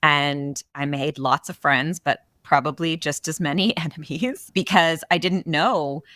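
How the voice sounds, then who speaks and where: Low, bright-voiced woman podcast host. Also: unhurried, no room sound. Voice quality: big voice. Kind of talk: casual podcast conversation.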